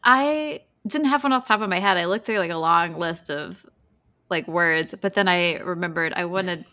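A woman's voice: on the low side, normal speed, pushed and bright. A woman co-hosting a casual group podcast in a giggly, informal way. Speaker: podcast co-host.

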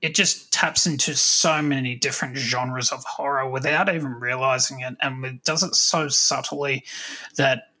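Late 30s guy hosting a podcast, bright voice, chatty, kinda stumbles finding his words. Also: mid-range voice, steady tone, normal speed, poor audio. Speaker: podcast host. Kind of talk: casual conversation about films and comics.

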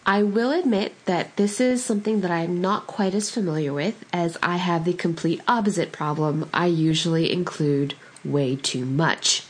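A woman hosts a podcast while getting over a cold, her voice husky and stuffed up. She sits a little low in pitch and rambles in a casual, self-deprecating way, full of ums and asides. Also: normal speed, few pauses. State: congested from a cold. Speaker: podcast host.

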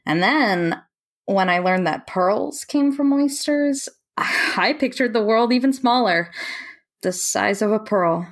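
A woman acting in an audio play as an earnest, dreamy mapmaker, speaking reflectively and pausing between phrases. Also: mid-range voice, animated. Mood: earnest, wistful. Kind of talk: audio play monologue and dialogue.

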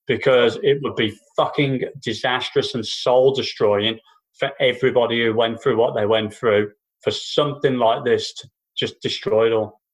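A man's voice: medium pitch, steady tone, moderate pace, poor audio. A man co-hosting a casual political chat podcast, talking in long sweary runs full of false starts and restarts. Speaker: podcast co-host and stand-up comedian. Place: New Zealand.

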